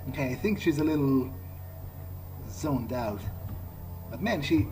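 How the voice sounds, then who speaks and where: Guy roleplaying thru a video game, kinda halting, talking to himself, medium pitch, loud music behind. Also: expressive. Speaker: gamer doing an in-character playthrough. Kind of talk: in-character game commentary, thinking aloud.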